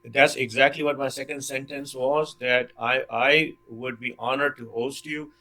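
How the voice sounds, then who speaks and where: A man from Pakistan speaking as a panelist in a measured way with frequent hesitations. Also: mid-range voice, fairly even intonation, pushed and bright, low-quality recording. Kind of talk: panel discussion.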